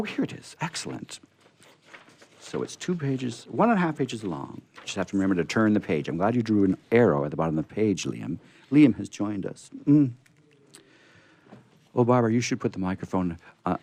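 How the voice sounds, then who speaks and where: A man's voice: sits low, gravelly, dark tone, no room sound. A man hosting a radio theatre, reading stories aloud live in a chatty way. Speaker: radio theatre host and reader. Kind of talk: live dramatic story reading. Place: live radio reading before a small group.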